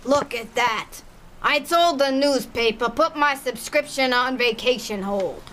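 A woman's voice: high-pitched, unhurried, fairly bright tone, a little hiss or hum. A woman playing a kindly, fretful elderly pensioner in an audio drama.

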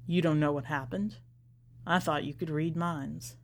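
A woman voicing a bitter, skeptical son in a story, terse and accusing in a low-key way. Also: steady tone, unhurried. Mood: scornful. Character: bitter, accusing son of a dead man, confronting preacher.

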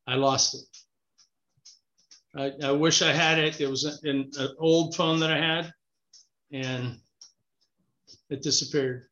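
Animated storyteller man on an echoey call. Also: fairly high pitch, takes it slowly, pauses often. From Cuba. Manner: animated storyteller, talks with his hands. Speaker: guest, author. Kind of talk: casual storytelling interview. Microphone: remote call. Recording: echoey, muffled call audio.

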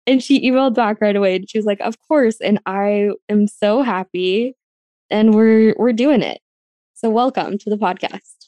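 A woman's voice: mid-range voice, moderate pace, warm tone, dry recording. An American woman from rural Arizona hosting a podcast in a casual, gushing, conversational way. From rural Arizona, United States. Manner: enthusiastic, gushing. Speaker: podcast host.

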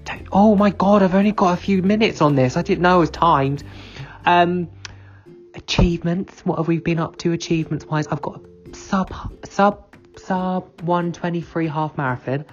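A man's voice: high voice, steady tone, moderate pace, muffled recording, faint music behind. A man sending a recorded congratulatory voice message to a podcast host, bubbly and gushing. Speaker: former podcast guest.

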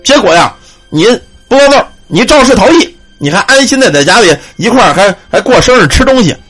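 A man's voice: high-pitched, sing-song, brisk, fairly bright tone, breathy edge, soft background music, heavily acted. A man storyteller voicing a furious old man who scolds and accuses the one who knocked him into a well. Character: furious, bullying old man mistaken for a ghost.

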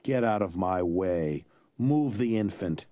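A man's voice: low-pitched, animated, slow pace, warm tone, dry recording. A man voicing a cruel, haughty nobleman in an audiobook. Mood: cold.

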